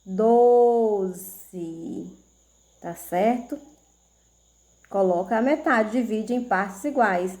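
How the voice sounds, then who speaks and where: Slow, patient woman teacher. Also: fairly high pitch, darkish tone. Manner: slow, patient, checks in with "tá certo?". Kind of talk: video math lesson for children.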